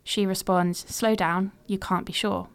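A woman in her late twenties reads her own story in the present tense, with a smooth, clear voice. Her telling is measured and reflective, and her pitch sits in the middle range. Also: fairly even intonation. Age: 20s. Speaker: podcast host. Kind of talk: reading a personal story aloud.

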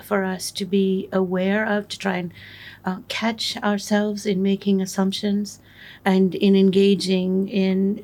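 A woman interviewer reflecting and asking questions in a thoughtful, halting way, often restarting her sentences. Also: medium pitch, darkish tone.

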